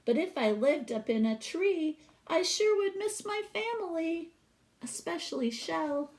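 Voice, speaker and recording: A woman's voice: extremely high pitch, lively intonation, unhurried, darkish tone, voice pushed up, heavily acted. A woman voicing an earnest young girl poet from a storybook, speaking in a heartfelt, singsong way. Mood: wistful, heartfelt.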